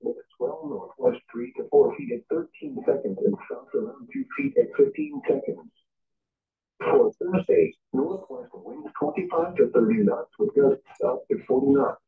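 A man's voice: sits high, wildly swooping pitch, a little slow, gravelly. A synthetic male announcer giving a formal, impersonal automated marine forecast readout over weather radio. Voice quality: synthetic, machine-generated. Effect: weather radio broadcast. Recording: dull distant radio in echoey room.

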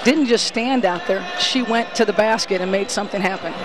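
A woman's voice: medium pitch, fairly quick, a crowd in the background. Middle-aged woman, basketball coach, emphatic, earnest, frequent restarts, headset mic, big empty gym. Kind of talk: postgame interview. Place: empty college gym after a game.

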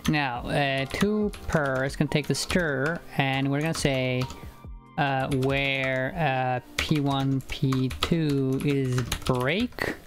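A man's voice: mid-range voice, fairly even intonation, slow, over music. A young man whose first language is Icelandic, live-streaming programming and talking it through with many fillers and restarts, on a headset mic at home. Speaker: programming livestreamer. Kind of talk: casual live coding chat. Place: home room, indoors.

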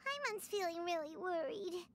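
A woman voicing a tiny excitable fairy companion girl with a squeaky, childlike voice in an anime-style video game. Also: normal speed, heavily acted. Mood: worried. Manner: refers to self in third person, exclamatory. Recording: background music under the voice.

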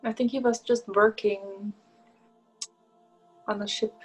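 Young female client, slow monotone, short quiet answers over video call. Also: mid-range voice, husky, faint music behind, poor audio.

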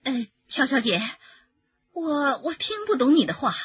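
A woman's voice: sits high, big pitch swings, unhurried, highs cut off. An actress playing an indignant, flustered woman who stammers protests at police in a radio crime drama. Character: indignant, flustered woman accused of hiding a fugitive.

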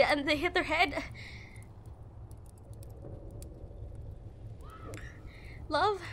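A woman playing a protective, prickly young girlfriend in a roleplay audio drama, tender but bossy and halting when vulnerable. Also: extremely high pitch, a bit bright, slight background noise. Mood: frantic, insistent. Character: protective, prickly young woman, frightened for her injured lover.

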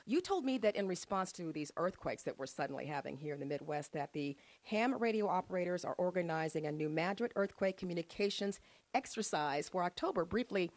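A woman's voice: fairly low pitch, expressive, fairly quick, no room sound. Woman field reporter, live local TV, upbeat and chatty, handheld mic on location. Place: outdoors at a home and in a parked truck. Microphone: handheld station mic.

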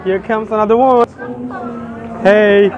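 Chatty high-voiced man filming a crowded pavilion. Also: fairly even intonation, slow pace, highs cut off. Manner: chatty, joking with strangers. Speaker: vlogger. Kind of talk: casual walking vlog. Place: crowded exhibition pavilion indoors. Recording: busy crowd and loud music behind.

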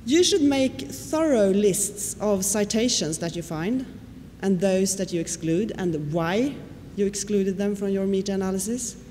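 A woman's voice: mid-range voice, animated, a little slow, few pauses, warm tone. Woman, Swedish native, conference lecturer, explanatory, fillers, long hall reverb. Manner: explanatory, with frequent fillers and questions to the audience. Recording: reverberant hall with faint noise.

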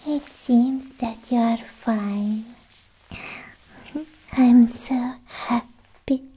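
A young woman playing a lovestruck, possessive alien girlfriend in a slow, cooing ASMR roleplay, mostly in breathy whispers close to the microphone. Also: fairly high pitch, steady tone, warm tone, exaggerated voice, poor audio. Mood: tender, fussing. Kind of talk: soft-spoken ASMR roleplay.

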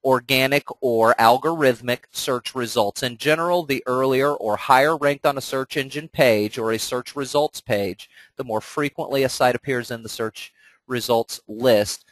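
A man's voice: mid-range voice, normal speed, dry recording, low-quality recording. A man with a stuffed-up nose from a cold, presenting a training webinar in an earnest, conversational way, speaking directly to his listeners.

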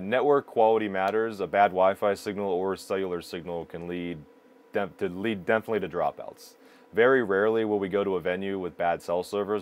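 Deep-voiced man explaining gear. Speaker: video production company presenter. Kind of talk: explanatory product review to camera. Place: small indoor broadcast control room.

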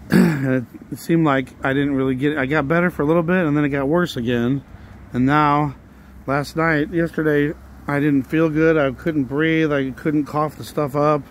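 A hoarse man talking casually while walking outdoors on a residential street, a little out of breath, rambling in a plain way and now and then coughing or sighing. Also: on the high side, fairly even intonation, unhurried, slight background noise. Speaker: vlogger. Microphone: handheld phone while walking.

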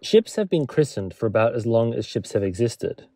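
Man, mellow tone, scripted documentary narration, presenter, background music. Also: mid-range voice, sing-song, moderate pace, no echo, studio quality. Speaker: presenter, maritime history author and lecturer.

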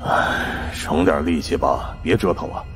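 A man's voice: very deep voice, a little slow, a bit bright, soft background music, voice pushed down. A man voicing a stubborn, weary male director in an audio story. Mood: resigned.

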